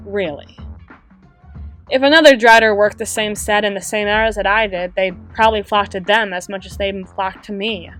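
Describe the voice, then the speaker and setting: A woman playing a wistful, guarded female half-spider bouncer in an intimate roleplay monologue, musing with dramatic pauses and soft trailing phrases. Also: mid-range voice. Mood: hurt, reflective. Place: close-miked recording with music playing behind.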